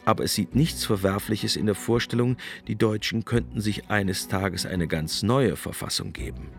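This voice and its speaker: A man narrating scripted documentary commentary in a measured, reflective way. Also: lowish voice, creaky, faint music behind. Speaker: narrator, essayist's reader.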